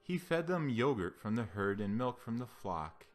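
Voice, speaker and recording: A man giving a solemn scripture reading as a Bible reader, with deliberate, declamatory phrasing. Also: mid-range voice, very animated. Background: background music.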